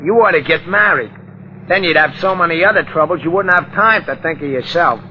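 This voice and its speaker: An actor playing a folksy, easygoing police sidekick as 1940s radio comic relief, chatty, ungrammatical and mock-pompous. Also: male voice, on the high side, brisk, close to an everyday voice. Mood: joking, easygoing. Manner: chatty, colloquial, bad grammar, mock-pompous. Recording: muffled, noisy old radio recording.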